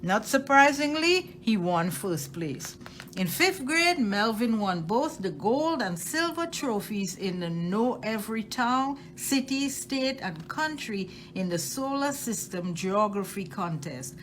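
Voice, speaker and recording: Lively, unhurried woman reading a storybook. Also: a little high, slight background noise. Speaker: storytime reader at a library. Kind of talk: expressive read-aloud of a children's picture book.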